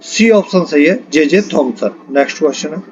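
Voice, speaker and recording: A man with a fairly high-pitched voice works through quiz questions as an exam coach. He reads each question and its options, then states the correct answer. The recording is somewhat dull and lo-fi. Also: expressive, brisk. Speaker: exam-prep physics teacher. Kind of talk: lesson reading out multiple-choice questions and answers.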